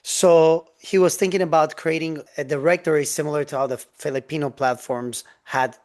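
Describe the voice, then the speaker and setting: A man's voice: a little high, fairly even intonation, moderate pace, darkish tone. A man, a guest on a business podcast, telling his story in an earnest, eager way over a video call. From Turkey. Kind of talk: interview storytelling.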